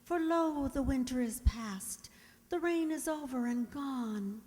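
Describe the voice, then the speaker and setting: Woman acting a high-voiced, emotional young girl. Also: slow, darkish tone. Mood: wistful. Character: dreamy, then frantic young girl named Helen. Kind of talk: old-time radio drama acting. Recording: long hall reverb.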